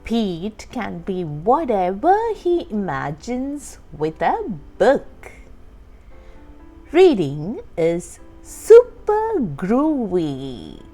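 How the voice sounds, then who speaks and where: Woman, children's storytime reader, very slow pace, swooping expressive pitch, mellow tone, soft music behind. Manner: expressive, deliberate phrasing for young listeners. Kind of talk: animated read-aloud storytime for young children. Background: background music.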